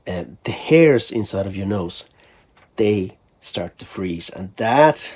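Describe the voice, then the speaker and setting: Low-pitched man from Finland, rambling casually. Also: animated, takes it slowly, a little mellow, husky. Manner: rambling, conversational, thinking aloud. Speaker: musician vlogger. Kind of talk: casual vlog talk.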